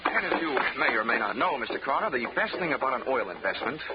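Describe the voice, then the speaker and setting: An American actor playing a wry, hard-boiled investigator in a 1940s radio drama, full of dry wisecracks. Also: male voice, medium pitch, very animated, fast talker, heavy background noise, close to an everyday voice. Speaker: detective narrator and lead character. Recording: dull 1940s radio recording with music.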